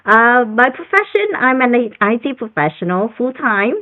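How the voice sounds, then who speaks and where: A woman's voice: a little high, sing-song, moderate pace, no echo. Cheerful woman on a thin phone-like line. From Vietnam. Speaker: guest. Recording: thin phone-like call audio.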